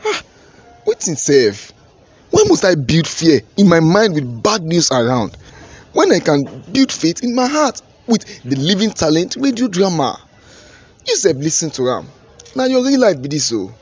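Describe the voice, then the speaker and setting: A man with a Nigerian accent and husky voice acting an unbothered, persuasive friend in a radio drama promo. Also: fairly high pitch, wildly swooping pitch, unhurried, exaggerated voice. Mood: dismissive, persuasive. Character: unbothered, upbeat friend championing faith over fear. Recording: dull, lo-fi sound.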